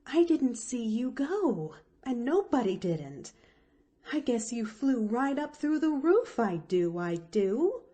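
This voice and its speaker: A woman's voice: a little high, very animated, takes it slowly, mellow, slightly rough, pitched above the performer's own voice, full character voice. A woman voicing a kindly, dogged country housemaid in a storybook, folksy and ungrammatical, full of flustered exclamations. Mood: breathless relief.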